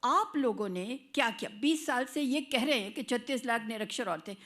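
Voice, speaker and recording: An Indian woman takes part in a televised political debate. Her voice is a little high as she challenges the other side. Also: lively intonation, moderate pace, fairly bright tone, slight background noise. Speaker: debate panelist.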